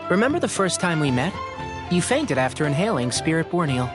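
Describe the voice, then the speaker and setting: A man voicing a sensible young forest ranger in a video game, matter-of-fact and gently lecturing. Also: on the high side, very animated, fairly quick, music in the background. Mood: dry lecturing.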